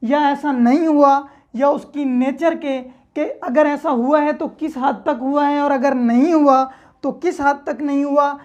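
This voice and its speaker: High-pitched Pakistani woman teaching. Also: fairly even intonation, a little slow, a little mellow. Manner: reads clauses aloud, then explains with simple examples. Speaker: law teacher. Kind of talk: explanatory law lecture.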